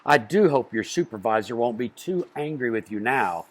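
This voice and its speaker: A man voicing a gentle, polite, lonely man in a children's storybook. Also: medium pitch, expressive, moderate pace, lightly acted. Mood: subdued, kindly.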